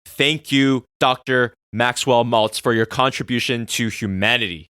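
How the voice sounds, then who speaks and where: A man's voice: medium pitch, measured pace, clear, resonant tone, no room sound, studio quality. Earnest man hosting a solo podcast. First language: Korean. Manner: earnest, excitable, swings from soft musing to loud swearing. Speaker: podcast host.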